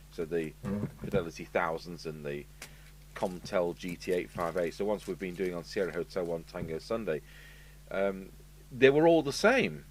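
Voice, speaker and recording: A man's voice: low voice, rough recording. An English man hosting a casual, unscripted repair video, rambling chattily with asides as he demonstrates. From United Kingdom. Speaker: video host and radio repairer. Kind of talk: casual unscripted talk to camera while demonstrating. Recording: steady hum and background noise.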